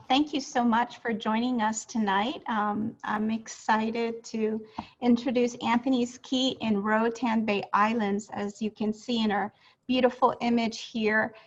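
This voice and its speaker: Brief: woman in her 40s, from Honduras, higher-pitched, unhurried presenter, dull lo-fi call audio. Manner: unhurried, frequent ums while explaining. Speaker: resort booking director presenting. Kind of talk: webinar presentation.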